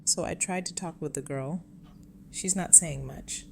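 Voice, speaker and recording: A woman playing a brisk, authoritative leader in an audio drama. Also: low-pitched. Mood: matter-of-fact. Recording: clear background noise.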